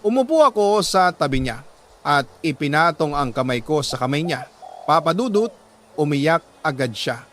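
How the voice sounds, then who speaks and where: A Filipino man reading a dramatic story in an earnest, emotive way with weighty pauses. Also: on the high side, a bit bright, slight background noise. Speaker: storyteller reading a listener's letter. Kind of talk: dramatic scripted story narration.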